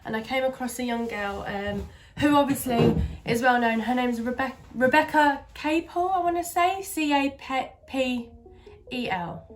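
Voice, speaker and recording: A woman's voice: sits high, a little slow, a little hiss or hum. Nasal woman with a cold. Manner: chatty, many fillers. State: stuffy nose from a cold. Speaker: beauty vlogger. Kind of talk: casual makeup tutorial.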